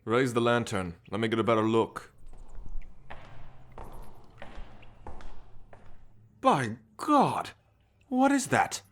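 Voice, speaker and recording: An actor playing a greedy, impatient, sour treasure-hunting sailor of the 1790s in an audio roleplay, brusque and exasperated. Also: male voice, mid-range voice, wildly swooping pitch, a little slow, fairly bright tone. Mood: startled, curious.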